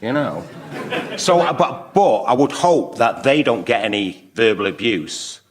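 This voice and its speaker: A man's voice: mid-range voice, lively intonation, a little slow. A man with a British accent giving rambling, jokey anecdotes as a guest on a comedy panel show. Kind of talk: informal comic banter.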